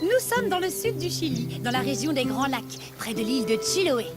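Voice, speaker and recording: A woman's voice: high-pitched, sing-song, moderate pace. A woman playing a kindly adult scientist who guides children in a French cartoon, encouraging as she explains things. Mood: enthusiastic. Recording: loud music behind the voice.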